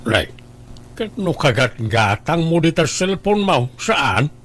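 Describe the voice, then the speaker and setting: A man's voice: fairly high pitch, sing-song, slow pace, a bit bright, exaggerated voice. A man with an Ilocano Filipino accent playing a plain-spoken middle-aged father in an audio drama, inquisitive and mildly chiding. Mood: questioning.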